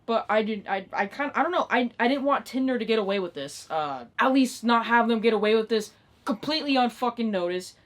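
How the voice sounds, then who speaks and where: Young woman, late teens, higher-pitched voice, exasperated, sweary, emphatic, casual vlog rant. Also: expressive, brisk. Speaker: online video creator. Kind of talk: ranting vlog, casual unscripted talk.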